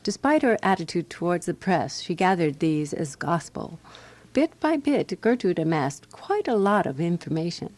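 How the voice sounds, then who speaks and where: A woman's voice: fairly low pitch, sing-song, moderate pace, darkish tone. A woman playing a prim, wry 1920s Parisian resident, narrating a radio drama in a precise, dryly disapproving way. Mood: wry, reminiscing. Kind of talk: scripted first-person radio drama narration.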